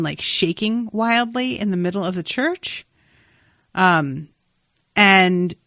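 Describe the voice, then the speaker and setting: A woman with a slightly low voice hosts a casual recap podcast. She talks informally, wandering through details and tossing in exclamatory asides. The sound is very dry, with next to no room around her. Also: a bit bright, dry recording. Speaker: podcast host.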